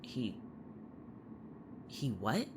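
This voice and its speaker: Man playing a sardonic, animated high school student. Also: drawn-out words, noisy background, full character voice. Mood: defensive. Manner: sardonic, blunt, irritable. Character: sarcastic, defensive, jaded male high school student. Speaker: actor in audio drama. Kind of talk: audio fiction dialogue.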